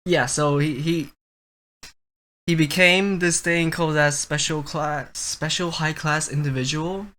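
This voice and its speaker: A man's voice: on the high side, a bit bright. Rambling young man chatting casually. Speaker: podcast host. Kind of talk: casual podcast chat.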